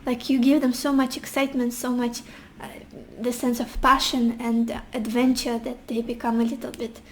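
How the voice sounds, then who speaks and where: High-voiced woman, hoarse, gentle tarot reader. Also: little pitch movement, moderate pace, a little hiss or hum. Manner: gentle, reassuring, addresses the viewer directly. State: losing her voice, hoarse. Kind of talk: casual pick-a-card tarot reading.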